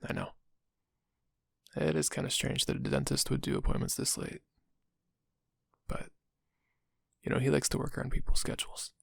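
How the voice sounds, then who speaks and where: A man acts out a dental receptionist in an intimate audio roleplay. His mid-pitched voice is thick with vocal fry and rather husky. He is polite and casual, with a quietly sinister, insinuating edge. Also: flat delivery, normal speed, long pauses, no echo, clean studio sound, heavily acted. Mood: friendly, businesslike. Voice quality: gravelly, husky. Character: friendly, subtly sinister male dental office receptionist.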